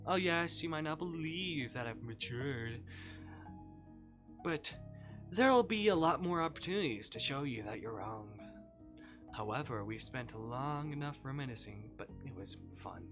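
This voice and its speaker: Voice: medium pitch, lively intonation, unhurried, over music, natural voice. Streamer voicing a dry, teasing young man. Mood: playful. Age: young adult. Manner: dryly sarcastic, politely formal teasing. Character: teasing, dry-witted young man, former college classmate, now model.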